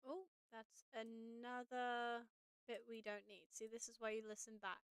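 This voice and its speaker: A woman with a slightly raspy but smooth, higher-pitched voice chats casually as she teaches audio editing on a live stream. She thinks aloud while she works. The sound is dry and close. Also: level tone, unhurried, dry recording.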